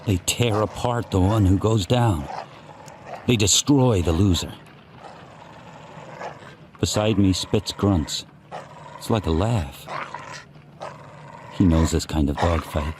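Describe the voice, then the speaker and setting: An actor playing a grim, watchful sled dog who narrates his harsh life in spare, deliberate sentences for a radio play. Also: deep voice. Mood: grim, intense. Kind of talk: first-person dramatic narration in a radio play. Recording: faint background noise.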